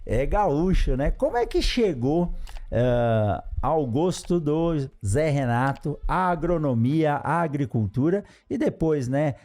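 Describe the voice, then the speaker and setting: A man's voice: a little high, very animated, slow pace. A man hosting an agriculture podcast, introducing his guest with effusive praise and frequent tag questions. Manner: warm, effusive with frequent "né" tags and restarts. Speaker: podcast host. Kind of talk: interview introduction.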